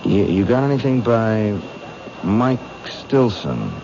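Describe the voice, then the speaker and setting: Low-voiced, menacing young killer. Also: male voice, sing-song, exaggerated voice. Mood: evasive, edgy. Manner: halting, stammering, slangy. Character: menacing, hesitant young man, a killer with a promise. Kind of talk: 1970s radio drama acting. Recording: dull, noisy old radio recording.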